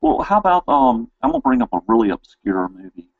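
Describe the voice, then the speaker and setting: A man's voice: medium pitch, big pitch swings, a little fast, breathy edge, no room sound, phone-quality sound. A man hosting a casual interview, talking informally and enthusiastically. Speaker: interview host. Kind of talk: casual chat.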